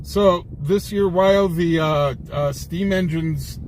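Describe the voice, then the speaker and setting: A man's voice: a little high, slow pace. An American man chatting casually with livestream viewers from a car, telling rambling anecdotes. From United States. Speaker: livestream host, scanner-chasing reporter. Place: inside a car, live on patrol. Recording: raw livestream, loud vehicle noise.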